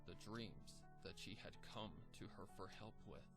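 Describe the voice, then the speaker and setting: A man narrating a dark fan story in a measured, grim storytelling manner over background music. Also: low-pitched, gravelly. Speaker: fanfiction narrator. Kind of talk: dramatic story reading.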